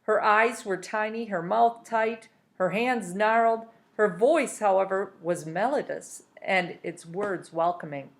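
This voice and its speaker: A woman's voice: mid-range voice, measured pace, few pauses. A woman narrating a children's novel aloud as a storybook reader.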